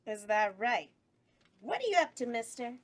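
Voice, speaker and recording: A campy, sultry horror hostess with a bawdy, brassy delivery, read by a woman at a table read. Her voice sits high and swings widely in pitch, playful all the way through. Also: big pitch swings, normal speed.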